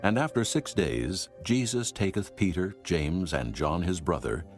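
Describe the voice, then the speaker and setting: A man narrating scripture in archaic English as a solemn, measured storyteller. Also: deep voice, animated, faint music behind.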